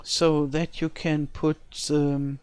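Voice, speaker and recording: A woman's voice: low-pitched, level tone, takes it slowly, a little mellow, smooth, no echo. An older woman from Germany with a German accent, who speaks English as a second language and thinks aloud while building a game map, sometimes searching for words. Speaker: let's-play creator. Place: home in rural France.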